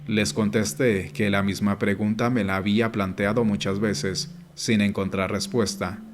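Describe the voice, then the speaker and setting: A man reading an audiobook as scripted narration, in a measured, instructive way. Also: fairly low pitch, flat delivery. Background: background music.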